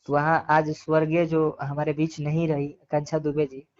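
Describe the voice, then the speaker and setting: Man, Bhojpuri accent, fairly high voice, earnest argumentative interviewee, repeats himself for emphasis, dull lo-fi call recording. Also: completely flat delivery, normal speed, a little mellow. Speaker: interviewee giving opinions. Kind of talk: casual phone interview. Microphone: phone call.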